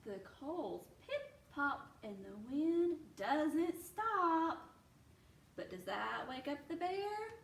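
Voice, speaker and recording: A woman reading a story aloud to young children like a teacher, expressively, pausing to explain and ask questions. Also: sits high, creaky. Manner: expressive, slow, explaining as she reads. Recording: roomy, distant mic, faint noise.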